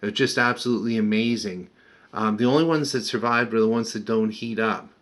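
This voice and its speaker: Older man, slightly low pitch, plain-spoken, casual hobbyist walkthrough, explanatory. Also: fairly even intonation, a little fast, a bit bright. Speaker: vintage radio restorer and presenter. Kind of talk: casual hands-on repair walkthrough.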